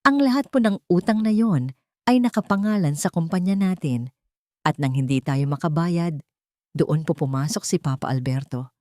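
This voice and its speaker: Guilty scared young guy talking low, woman voicing him, dry sound. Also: moderate pace, no echo, lightly acted. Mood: remorseful. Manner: quiet, regretful. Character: young adult son, remorseful and frightened.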